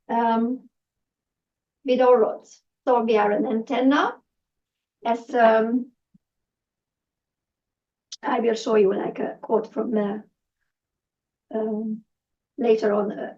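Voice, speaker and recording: A woman's voice: a little high, a little mellow. A woman from Hungary with a Hungarian accent, giving a public talk to a live audience with many fillers and restarts. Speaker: presenter. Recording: distant, dull lo-fi room recording.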